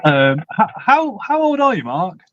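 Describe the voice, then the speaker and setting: A man's voice: high-pitched, big pitch swings, a little slow, fairly bright tone, no echo, highs cut off. A man, hosting a casual live stream, reading out comments and swearing casually. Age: 40s. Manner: chatty, swears casually, laughs often. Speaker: livestream host.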